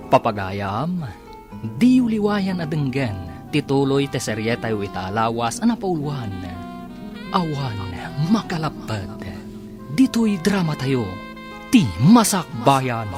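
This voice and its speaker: Ilocano radio serial narrator, sweeping animated delivery, music underneath. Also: medium pitch. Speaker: announcer and narrator. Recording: music bed behind the voice.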